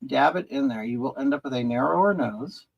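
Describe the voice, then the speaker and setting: A man's voice: mid-range voice, lively intonation, brisk, gravelly, highs cut off. A man from rural California hosting a casual stream, chatting with viewers and rambling through hesitant, restarted sentences while doing makeup. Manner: rambling and hesitant, many restarts, reading and answering chat. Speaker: livestream host.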